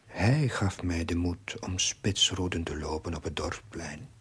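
Earnest young chaplain reading his diary, really breathy and husky, solemn tv drama voice-over, slow. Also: low-pitched, full character voice. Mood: solemn, reflective. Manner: measured, solemn, formal literary diction. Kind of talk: scripted dramatic voice-over narration.

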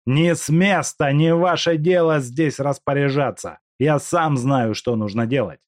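A furious factory boss bellows commands and insults, high and strained, as if he owns everyone in earshot, a male reader putting him on. The sound is close and dry. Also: measured pace, no echo, natural voice. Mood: commanding. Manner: shouting, commanding, contemptuous.

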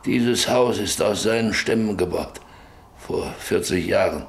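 An actor playing a sick, stubborn, lonely old rancher in a German radio play, halting and wistful, lost in memories. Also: male voice, lowish voice. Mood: wistful, haunted. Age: older. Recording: noisy radio play with ambient sound.

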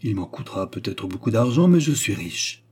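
A secretive former courtesan, acted out by a man speaks in formal, emphatic storybook phrases. The voice is husky and mellow, solemn and commanding, with the weight of repentance. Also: fairly low pitch, sing-song, moderate pace, exaggerated voice. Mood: firm. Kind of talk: dramatic storybook dialogue.

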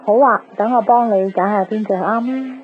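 A woman's voice: medium pitch, moderate pace, natural voice. An actress playing a chatty, bubbly, teasing young woman in a radio drama. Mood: bright, congratulating. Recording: slightly muffled radio recording.

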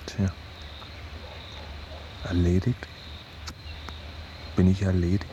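A man's voice: low-pitched, completely flat delivery, takes it slowly, lo-fi sound, noisy background. A man in a radio drama playing an anxious, self-doubting man of forty-nine, brooding and confessional. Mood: anxious, subdued.

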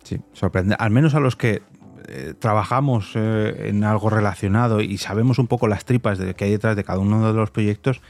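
A man's voice: lowish voice, brisk, a little mellow, faint music behind. A man with a Castilian Spanish accent hosting a casual interview, effusive and enthusiastic. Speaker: podcast host, interviewer.

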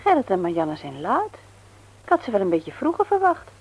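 An actress playing a chatty, expectant wife in brisk conversational radio drama dialogue. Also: female voice, mid-range voice, wildly swooping pitch, a little mellow, slightly rough.

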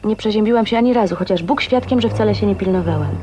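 A woman's voice: mid-range voice, fast talker, warm tone, close to an everyday voice. An actress in a radio drama playing a wry, defiant spinster in her twenties, plain-spoken and ironic. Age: 20s. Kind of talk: 1980s radio drama acting. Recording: faint noise on radio recording.

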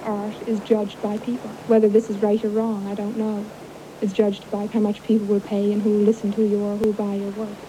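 A woman's voice: medium pitch, completely flat delivery, a little fast, mellow, a little hiss or hum, rough recording. A woman from Newfoundland speaking articulately and matter-of-factly in a reflective interview. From Newfoundland, Canada.

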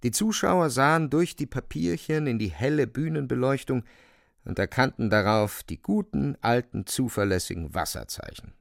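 A man's voice: medium pitch, animated, moderate pace, gravelly, no echo, clean studio sound. A man narrating a literary radio drama in a dramatic storytelling style.

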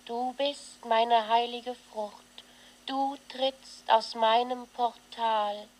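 A woman's voice: a little high, steady tone, drawn-out words, rough recording. An actress playing a solemn performance artist who recites intimately, like an incantation, as an expectant mother in a radio play. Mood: tender, solemn. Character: solemn pregnant performance artist reciting to her unborn baby. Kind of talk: poetic monologue in a radio play.

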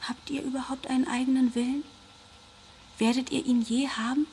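Musing, higher-pitched woman researcher. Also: steady tone, moderate pace, darkish tone, slight background noise. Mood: determined, musing. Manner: musing, tender, talking to herself and pets. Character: absorbed, determined woman researcher doting on her tiny nanite creatures. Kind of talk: radio drama acting.

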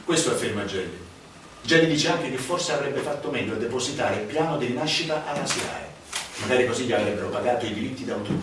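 An actor playing a wry storyteller on stage, emphatic and ironic, with dramatic pauses. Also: male voice, mid-range voice, lively intonation, lightly acted. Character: wry male narrator recounting a political scandal. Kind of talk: theatrical monologue on a political scandal. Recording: echoey stage, distant mic, clear noise.

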